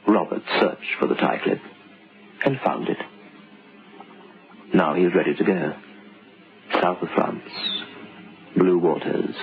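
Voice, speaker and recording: An actor playing a grave male storyteller, narrating an old-time radio suspense tale with dramatic, deliberate phrasing. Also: low voice, a little hiss or hum. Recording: lo-fi old radio recording with music.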